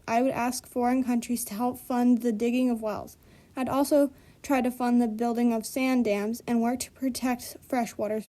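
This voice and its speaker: A woman's voice: a little high, warm tone. Earnest woman reading a school report. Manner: careful, earnest reading in first person as a character. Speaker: student presenter.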